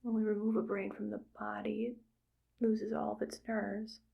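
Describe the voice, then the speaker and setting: A woman voicing a cheerfully callous female evil scientist in an ASMR roleplay, speaking in a soft close whisper and musing aloud as she works. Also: medium pitch, completely flat delivery, normal speed, gravelly, husky, dull audio. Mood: musing, calm.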